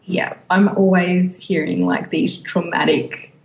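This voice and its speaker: A woman's voice: lowish voice, fairly even intonation, slow, vocal fry. A woman co-hosting a casual fan podcast, chatting playfully with some self-deprecation. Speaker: podcast co-host. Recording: echoey, roomy sound.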